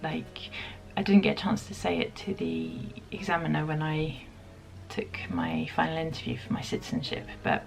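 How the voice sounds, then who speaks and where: Woman, 30s, from the UK, vlogger; low, gravelly, thoughtful and halting. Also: very animated, whispered, soft background music, low-quality recording. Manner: reflective, soft-spoken, many pauses and ums. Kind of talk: casual chat to camera.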